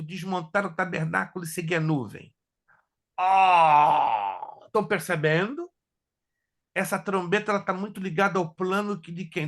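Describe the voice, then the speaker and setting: A man teaching a theology class as a pastor, whose first languages are Hebrew and Spanish, lecturing in an animated way and joking with his students. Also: on the high side. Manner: animated, jokes with students, asks if they follow.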